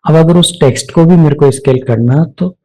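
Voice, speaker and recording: A man with an Indian accent narrating a step-by-step software tutorial, explaining each action aloud as an instructor. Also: fairly high pitch, fairly quick.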